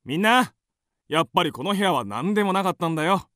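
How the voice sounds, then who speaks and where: Animated, easygoing family man. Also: high voice, quick pace, dry recording, clean studio sound. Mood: reassuring. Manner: light-hearted, reassuring. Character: easygoing, joking family man, husband and father. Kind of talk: radio drama acting.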